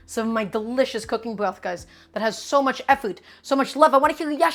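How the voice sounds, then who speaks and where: A young woman hosts a live cooking stream with a fairly high voice. She chats easily with her viewers, keeps calling them "guys" and whips up their excitement. Soft music plays quietly behind her. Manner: upbeat, hypes up the audience.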